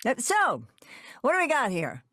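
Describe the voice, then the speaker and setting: A woman's voice: high voice, huge pitch swings, normal speed, a bit bright, exaggerated voice. An actress voicing a brash, sardonic older witch in a cartoon, with a flippant, colloquial manner. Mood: wry, flippant. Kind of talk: animated cartoon table read.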